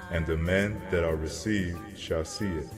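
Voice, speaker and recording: A man playing a solemn angel messenger who delivers grave prophecy in a measured, declamatory way, as in a dramatized scripture reading. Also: deep voice, fairly even intonation, lightly acted. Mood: grave, prophetic. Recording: long cavernous reverb, music behind. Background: music.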